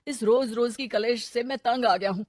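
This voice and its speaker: A fed-up adult son, played by a woman in a cartoon tale. The voice is high and dry, with a complaining, weary manner. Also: moderate pace, a little mellow, dry recording, close to an everyday voice. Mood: exasperated. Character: exasperated adult son fed up with family squabbles.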